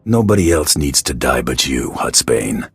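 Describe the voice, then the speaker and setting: Very deep, husky callous bounty hunter. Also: male voice, very animated, normal speed, fairly bright tone, no room sound, soft background music, heavily acted. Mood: cold threat. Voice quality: low, gravelly rasp. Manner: curt, menacing, dryly sarcastic. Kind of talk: video game voice acting.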